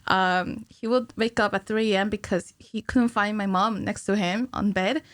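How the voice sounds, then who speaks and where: A woman's voice: mid-range voice, fairly even intonation, normal speed, dry recording. A young woman speaking casually and chattily as a podcast guest, close to a studio microphone. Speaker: podcast guest, cinematic animator. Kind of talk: casual conversational interview. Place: small curtained podcast studio. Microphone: studio microphone on stand, close.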